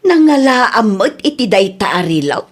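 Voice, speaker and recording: A woman's voice: medium pitch, big pitch swings, moderate pace. A woman playing an older, hesitant auntie who is consulted as an expert in a comedy radio drama, with an Ilocano accent. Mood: puzzled, hesitant.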